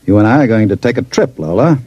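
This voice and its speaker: A ruthless radio-drama gang boss. His voice is low and mellow, with big swings in pitch. He talks down to people with smug menace. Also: expressive, fast, muffled recording. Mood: smug, reassuring. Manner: smooth-talking, condescending. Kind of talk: old-time radio crime acting.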